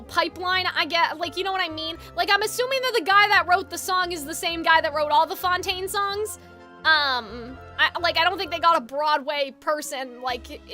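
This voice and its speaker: A woman with a strikingly high, bright voice chats to her livestream viewers. She rambles informally and gives blunt opinions, sounding slightly congested. Music plays behind her. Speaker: game livestreamer. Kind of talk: casual livestream chat with viewers.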